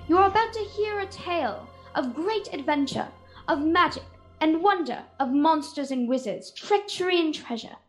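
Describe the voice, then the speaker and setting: A young girl acting in a fantasy radio serial as a theatrical storyteller and kindly character. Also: high voice. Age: child, 10 to 13. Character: grand fantasy storyteller who also plays kindly tavern keeper. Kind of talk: scripted narration, radio serial.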